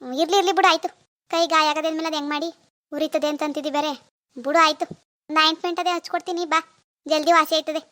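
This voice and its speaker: Woman as a loud, bossy rural mother-in-law. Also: high-pitched, steady tone, fast talker, pauses often. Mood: gruffly concerned. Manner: brusque commands in rustic speech. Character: scolding, bossy but easily softened rural mother-in-law. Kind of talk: amateur domestic drama acting.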